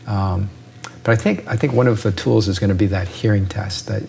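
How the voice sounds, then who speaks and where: A man's voice: on the low side, steady tone, fairly quick, gravelly, warm tone, slight background noise. A man, an ear doctor, explaining with analogies in an interview and often checking in with "right?".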